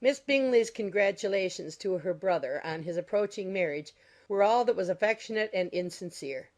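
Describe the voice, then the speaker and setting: A woman's voice: medium pitch, animated, moderate pace, a little mellow. A woman giving scripted narration of a period novel as an audiobook narrator. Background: music.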